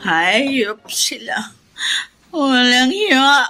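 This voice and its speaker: A woman's voice: sits high, very animated, extremely slow, pushed and bright, soft background music, full character voice. A woman playing a bitter, drunken middle-aged mother in a Filipino radio drama, with a slurred, theatrical drawl. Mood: drunken, belligerent.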